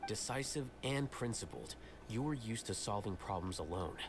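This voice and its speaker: An actor playing a composed, intellectual young scholar in an anime game, speaking in a measured, articulate, detached way. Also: male voice, fairly low pitch, expressive, background noise. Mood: calm, analytical. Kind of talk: scripted anime game dialogue. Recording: game music behind the voice.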